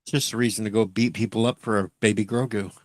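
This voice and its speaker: A man with a fairly low voice who tells jokes deadpan in a casual chat. The recording is dry and close, with no room sound. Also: fast, no echo. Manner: deadpan joker. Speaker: show host.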